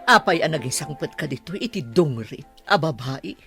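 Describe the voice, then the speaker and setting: An actress playing a snobbish, indignant wealthy mother in an Ilocano radio drama, with a husky voice. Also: deep voice, expressive, normal speed, a bit bright, faint music behind. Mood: haughty, indignant.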